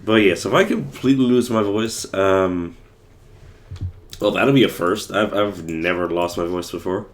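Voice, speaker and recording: Hoarse deep-voiced young man streaming. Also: normal speed. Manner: rambling, many fillers and restarts. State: hoarse, losing his voice. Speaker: livestreamer playing a game. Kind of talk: casual gameplay commentary.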